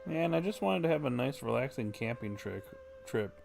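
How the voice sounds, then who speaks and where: A man's voice: mid-range voice, expressive, normal speed, gravelly. A man giving casual commentary while livestreaming a game. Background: game music.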